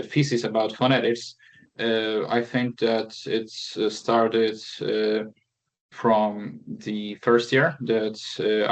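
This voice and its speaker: A young adult man, a student who answers and asks questions hesitantly with many fillers. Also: on the low side.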